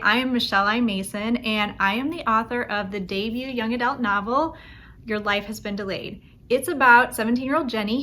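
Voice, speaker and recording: Bright chatty woman author. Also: a little high, a little hiss or hum. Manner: chatty, many ums and restarts. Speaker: young adult author. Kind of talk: casual self-recorded video.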